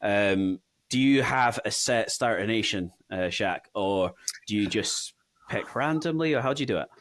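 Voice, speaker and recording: A man's voice: on the low side, lively intonation, normal speed, a bit bright, no echo. A man with a half English, half Scottish accent, chatting casually and conversationally as host of a gaming podcast.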